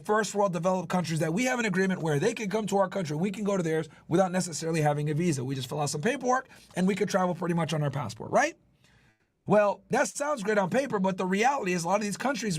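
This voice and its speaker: A man hosting a livestream explainer, talking emphatically with casual swearing, repeated points and frequent check-ins with his listeners. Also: high voice. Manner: checks in with listeners using "right?", "okay?". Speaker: livestream host, former federal agent.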